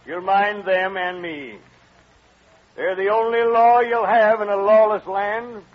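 Seasoned frontier trail boss, stern and commanding, high-set voice, tinge, muffled vintage radio sound. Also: male voice, steady tone, takes it slowly. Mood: stern, grave. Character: gruff, seasoned, commanding wagon train guide. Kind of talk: old-time radio western acting. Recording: dull vintage radio recording, noisy background.

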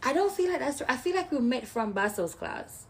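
A woman's voice: high-pitched, brisk, a little hiss or hum. Woman, playful podcast co-host. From Jamaica. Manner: animated storyteller, playful. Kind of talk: casual chat.

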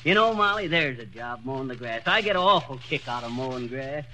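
An actor playing a boastful small-town husband who tells tall tales in a folksy drawl, in 1940s radio comedy style, on an old radio broadcast recording. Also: male voice, mid-range voice, expressive, brisk, sharp tone, poor audio. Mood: yarn-spinning. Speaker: comedy lead.

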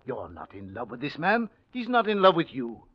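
Stern, animated older uncle. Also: male voice, medium pitch, normal speed, breathy edge. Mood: stern, scolding. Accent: American. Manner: blunt, severe, clipped. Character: stern, disapproving older uncle, bluntly moralizing. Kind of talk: 1940s radio drama acting. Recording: muffled old radio recording.

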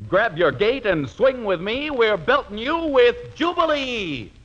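A man's voice: very high voice, very animated, measured pace, heavy background noise. A man announcing an old radio show in showy 1940s jive talk, full of rhyming slang patter. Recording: old dull radio recording, music behind. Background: music.

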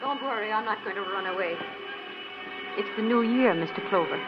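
A woman's voice: medium pitch, brisk, loud music behind the voice, lightly acted. A woman as a brittle, scheming radio noir widow. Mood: bitter longing. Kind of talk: 1940s-50s radio noir acting. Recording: dull, noisy old radio recording.

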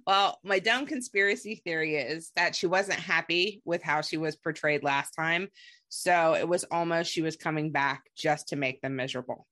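A woman's voice: low-pitched, normal speed, a bit bright. A woman hosting a podcast, chatting casually and gossipily as she recaps reality television. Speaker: podcast host.

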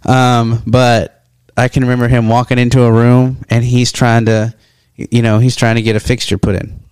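Smooth-voiced man telling rambling stories. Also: mid-range voice, little pitch movement, normal speed, a little mellow, dry recording. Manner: rambling storyteller, lots of "like" fillers. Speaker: podcast co-host. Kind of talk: casual chat.

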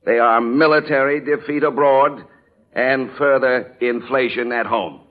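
A man's voice: mid-range voice, fairly even intonation, unhurried, lightly acted. A man speaking as a senior statesman advising a president, in grave, formal, declarative oratory. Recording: dull vintage radio recording.

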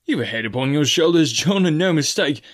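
A man voicing a nervous, superstitious male pirate crewman who speaks excitedly in rough sailor talk. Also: a little high, fairly quick, voice pushed up, close to an everyday voice. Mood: relieved.

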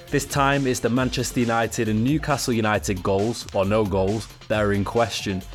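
A man hosting a football podcast, presenting and discussing the sport with a co-host. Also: fairly low pitch.

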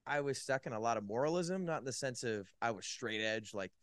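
A man's voice: medium pitch, expressive, brisk, clean tone, no room sound, clean studio sound. A man from California, a podcast guest, telling his faith story in a casual, rambling way with many "likes" and restarts. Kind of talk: casual personal testimony.

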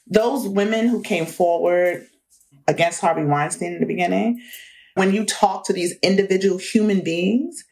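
A woman's voice: medium pitch, normal speed, darkish tone, rough recording. A woman in her forties, talking as an interview guest in a reflective, earnest way that breaks into easy laughter. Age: 40s. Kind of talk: candid conversational interview.

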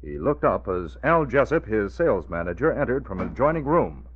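An American man serves as announcer and narrator for a vintage radio thriller. His delivery is theatrical and formal, with animated intonation and emphatic phrasing. The old recording is muffled with faint noise behind it. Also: lowish voice, fairly quick. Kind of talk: dramatic 1940s radio narration.

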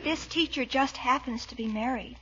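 A woman's voice: high voice, moderate pace, fairly bright tone. An actress playing an earnest, slightly huffy teenage schoolgirl in an old radio comedy. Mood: sulky. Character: earnest, touchy schoolgirl niece in her teens. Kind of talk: 1940s radio comedy acting. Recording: muffled old radio recording.

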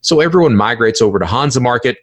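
A man's voice: medium pitch, lively intonation, fast talker. A man hosting a solo explanatory podcast, talking emphatically, repeating phrases and posing questions to himself. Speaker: podcast host explaining cybercrime.

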